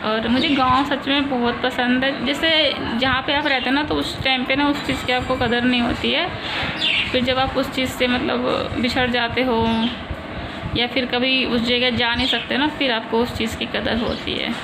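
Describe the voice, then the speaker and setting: A woman's voice: high voice, flat delivery, normal speed. A woman in her thirties, from a village in India, chatting casually and rambling on in a home vlog. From rural India. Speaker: vlogger. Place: home in the city. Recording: loud background noise, traffic.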